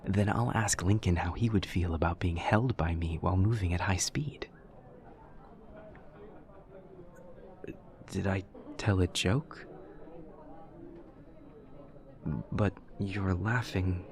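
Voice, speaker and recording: A man's voice: deep voice, moderate pace, hardly any pauses, darkish tone. A man playing an awkward, earnest young demon student in an audio roleplay, speaking in a formal, literal, over-explaining way. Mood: puzzled, pleased. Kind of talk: audio roleplay acting, addressing the listener.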